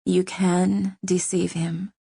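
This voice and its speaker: A woman's voice: lowish voice, steady tone, measured pace, no room sound, studio quality. A woman reading an audiobook, voicing a shrewd female poisoner who speaks soothingly but firmly. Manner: soothing, firm.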